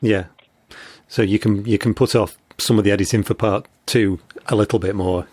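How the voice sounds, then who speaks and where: A man's voice: fairly low pitch, steady tone, moderate pace, a little mellow, husky, no echo. A man hosting a podcast, putting questions in a casual interview style.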